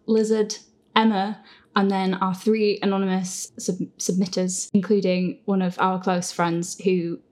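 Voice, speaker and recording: A woman hosting a casual group Q&A in a living room, with a giggly, informal manner. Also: mid-range voice. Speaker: host and show creator.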